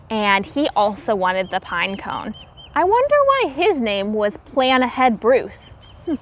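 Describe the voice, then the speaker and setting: A woman reading a story aloud to young children as a teacher, expressive and explaining things simply. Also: mid-range voice, a little hiss or hum.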